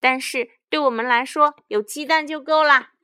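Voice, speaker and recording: A woman's voice: a little high, animated, a little slow, pushed and bright, no echo, studio quality, voice pushed up, full character voice. A woman voicing a greedy, sneering male weasel bandit chief in a children's story, jeering and boastful. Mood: greedy, gloating.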